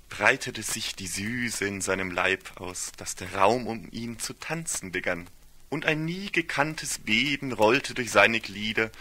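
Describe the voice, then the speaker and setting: A man's voice: fairly low pitch, bright, forceful tone. A man giving a scripted literary narration for a radio play in a solemn, ornate storytelling manner.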